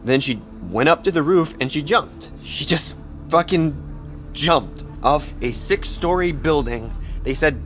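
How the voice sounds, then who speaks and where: A man's voice: on the high side, animated, fairly bright tone, faint music behind. Distraught, blunt teenage boy. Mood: grieving, weary. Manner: halting, sighing, swearing. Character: distraught teenage boy, blunt, guilt-ridden best friend. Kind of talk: naturalistic audio drama acting.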